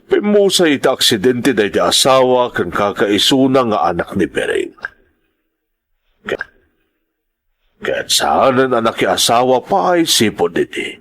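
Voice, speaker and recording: Grave uncle with a swinging pitch man acting. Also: sing-song, measured pace, long pauses, a bit bright, heavily acted. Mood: grave, reluctant. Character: older uncle figure gravely revealing a family secret. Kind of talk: Ilocano audio drama acting.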